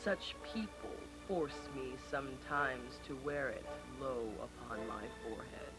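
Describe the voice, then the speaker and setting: A man's voice: medium pitch, animated, unhurried, loud music behind the voice, lightly acted, poor audio. A man voicing an ageless, stern but helpful spirit, solemn and commanding, in a storybook read-along. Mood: reproachful.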